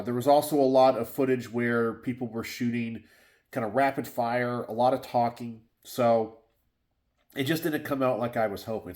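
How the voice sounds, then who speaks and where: A congested, slightly sniffly middle-aged man from Texas talks casually in his home garage. He rambles and circles back through fillers and restarts at an everyday middle pitch, like a host catching his viewers up. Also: a little mellow. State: stuffed up and sniffly. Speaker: gun channel host. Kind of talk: casual solo chat to camera.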